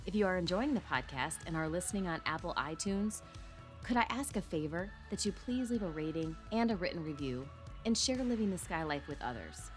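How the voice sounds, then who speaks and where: A woman hosting a parenting podcast, slightly hoarse, talking earnestly with fillers. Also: on the low side, animated, a little fast, faint music behind. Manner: earnest, apologetic asides with fillers. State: slightly hoarse after illness. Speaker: podcast host. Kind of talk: podcast intro and interview.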